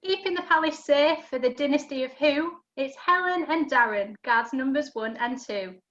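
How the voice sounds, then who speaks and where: A woman's voice: high-pitched, moderate pace, a bit bright. A woman giving scripted rhyming narration for an amateur pantomime over a video call. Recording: dull lo-fi video call audio.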